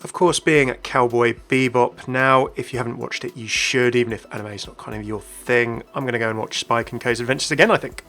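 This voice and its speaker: A man's voice: mid-range voice, steady tone, a little fast, hardly any pauses, bright, forceful tone. A man presenting a chatty, inquisitive explainer as a gaming video host, scripted with casual asides. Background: faint background music.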